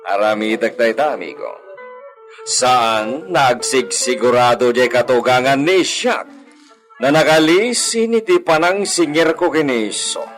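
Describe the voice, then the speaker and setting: A man acts a scheming landowner in a radio drama. His delivery is slow, grave and deliberate, with dramatic weight, over faint music. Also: medium pitch, expressive, a bit bright. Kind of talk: Ilocano radio drama acting.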